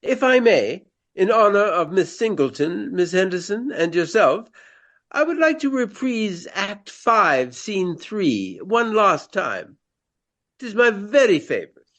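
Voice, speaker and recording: Slow, high-pitched, hammy Regency actor. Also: male voice, big pitch swings, fairly bright tone, heavily acted. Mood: courtly, polite. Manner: florid, formal, grandiloquent. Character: theatrical Regency gentleman actor, courtly, earnest, hammy. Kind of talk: radio play acting, declaimed Shakespeare.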